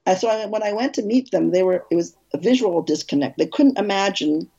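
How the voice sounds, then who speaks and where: A woman film director, an interview guest, telling animated stories in casual conversation with frequent restarts. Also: brisk, muffled recording, a little hiss or hum. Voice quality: husky, low.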